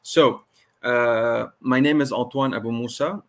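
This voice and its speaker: A man from Lebanon presents a business pitch online. His voice sits at an average male pitch, and he speaks as a co-founder walking through slides. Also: steady tone, unhurried. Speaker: startup co-founder and engineer presenting. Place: online video call.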